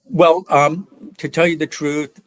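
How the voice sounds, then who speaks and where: An older man from New York, an author answering interview questions in a reflective, hesitant way with many pauses and fillers. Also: fairly high pitch, steady tone, no room sound.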